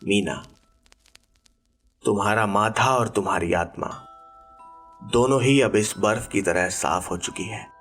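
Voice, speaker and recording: A man voicing a brave, noble dying man in a horror audiobook, speaking grateful last words solemnly and haltingly. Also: fairly low pitch, a bit bright, soft background music.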